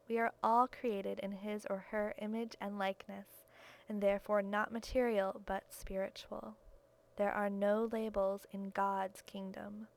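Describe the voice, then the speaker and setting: A woman's voice: medium pitch, creaky. A woman reading a written religious reflection aloud. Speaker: reader of testimony.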